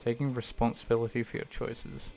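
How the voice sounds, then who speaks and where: Deep-voiced young man streaming casually. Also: flat delivery, fairly quick, vocal fry, a little mellow, faint music behind. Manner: rambling, self-deprecating, casual swearing. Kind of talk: casual gaming stream chat.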